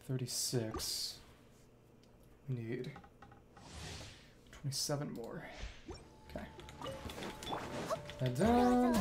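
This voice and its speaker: A woman streamer giving casual live gameplay commentary, half muttering to herself with mild exclamations. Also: a bass voice. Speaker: game streamer. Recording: loud game music behind voice. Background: loud game music.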